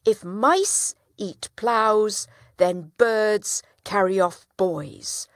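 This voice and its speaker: A woman's voice: mid-range voice, drawn-out words, no echo, studio quality. A woman voicing a shrewd male village trader, speaking up earnestly and insistently.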